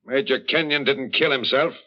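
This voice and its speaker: An actor plays a shrewd captain in a vintage radio mystery. He is plain-spoken, folksy and quietly sceptical, with an average-pitched male voice. The sound is dull and old. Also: moderate pace, lightly acted. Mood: probing. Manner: plain-spoken, knowing, probing. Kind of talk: 1940s radio drama acting.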